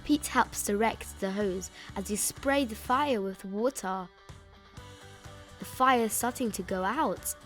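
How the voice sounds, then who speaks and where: A woman reading a children's picture book aloud in an expressive, emphatic way, stretching out key words. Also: mid-range voice, takes it slowly. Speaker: children's story reader. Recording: music bed behind the voice.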